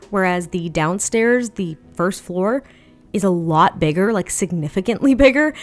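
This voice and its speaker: A woman giving a chatty voiceover for a home-building video, slightly hoarse and a little raspy, laughing often between rambling asides. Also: medium pitch. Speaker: Sims build video creator. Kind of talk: casual voiceover commentary.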